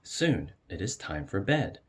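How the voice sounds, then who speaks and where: Deep, animated man reading a storybook aloud. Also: a little slow, husky. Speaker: storyteller reading a children's picture book aloud. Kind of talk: expressive read-aloud narration.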